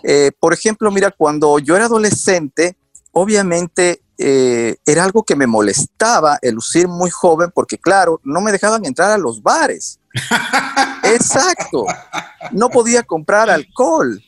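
A man in his forties from Quito with an Ecuadorian accent, a guest telling his story in a casual interview, animated and often laughing. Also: high-pitched, unhurried, no room sound. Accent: Quito Ecuadorian Spanish. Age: 40s.

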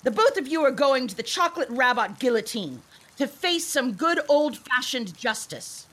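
A woman's voice: high-pitched, very animated, normal speed, noisy background. A woman playing a mocking, furious robot rabbit revolution leader in a comedic radio play, theatrical and imperious.

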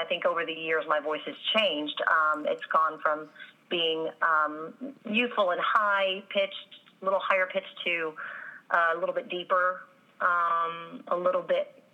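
A voice actress answering interview questions, with a slightly raspy voice and many pauses and ums. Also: female voice, fairly low pitch, fairly even intonation, phone-quality sound. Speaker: voice actress guest.

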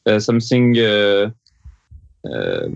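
A man with a French accent, a musician guest answering interview questions haltingly, searching for his words. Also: on the low side, huge pitch swings, creaky, clear, resonant tone, dry recording, highs cut off. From France.

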